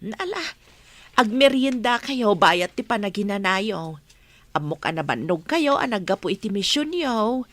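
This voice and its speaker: A courteous household helper in a TV drama, attentive and respectful. She has an Ilocano accent and a medium-pitched voice, recorded close and dry. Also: animated, a little slow, fairly bright tone, no echo. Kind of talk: Ilocano television drama acting.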